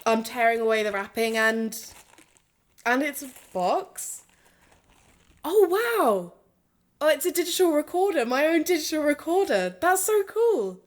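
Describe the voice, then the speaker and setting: An actress playing an earnest, excitable woman who co-hosts an investigative podcast in an audio drama. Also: high-pitched, a little slow. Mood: excited. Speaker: podcast co-host.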